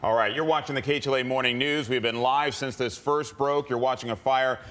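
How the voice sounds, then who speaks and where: An American man anchoring live breaking news on a morning show, with emphatic, deliberate delivery. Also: medium pitch, steady tone, a bit bright.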